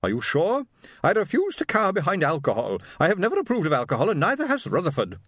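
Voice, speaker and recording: A man voicing a shrill, imperious lady. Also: wildly swooping pitch, fast, a bit bright, no echo, clean studio sound, heavily acted. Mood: haughty. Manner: haughty, demanding. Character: shrill imperious middle-aged wife.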